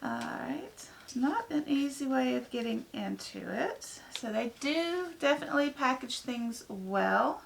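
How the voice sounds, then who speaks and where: A woman with a hoarse, raspy voice from a head cold, chatting casually through an unboxing with lots of ums. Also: sits high, expressive, a little slow, a little hiss or hum. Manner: chatty, rambling, many ums. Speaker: book vlogger.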